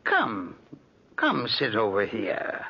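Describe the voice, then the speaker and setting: A man's voice: lowish voice, huge pitch swings, slow, sharp tone, husky, heavily acted. A man playing a genial but insistent older uncle in a 1940s radio drama. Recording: muffled 1940s radio recording.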